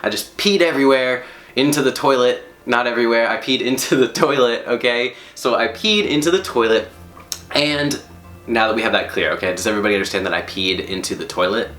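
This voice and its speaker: A man telling a personal story to an audience, animated and emphatic, laughing as he talks. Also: medium pitch, a little fast, faint music behind. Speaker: storyteller on stage. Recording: roomy hall echo.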